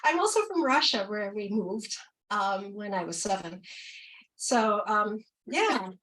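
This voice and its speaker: Poet from Ukraine reading from a distance. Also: female voice, mid-range voice, expressive. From Ukraine, former Soviet Union. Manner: slow, deliberate reading of poems, quicker and brighter in the chatty asides. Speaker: poet and translator. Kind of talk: poetry reading with spoken asides.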